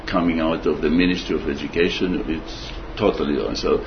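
An older man telling his memories in an interview, hesitant and pausing, with many fillers and repeated words. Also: extremely low pitch, fairly even intonation, noisy background. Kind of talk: oral history interview. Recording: dull lo-fi recording.

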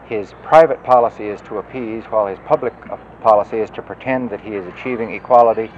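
A man campaigning for office and answering a voter's question in measured political phrasing, with a few stumbles. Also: fairly low pitch. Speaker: political candidate. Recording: lo-fi outdoor recording with street noise.

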